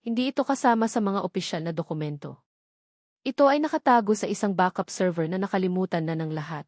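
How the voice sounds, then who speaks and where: A Filipino woman narrates a scripted drama as a storyteller. Her voice sits at a middling pitch, and the sound is very dry, with hardly any room in it. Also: animated, quick pace, darkish tone, no echo.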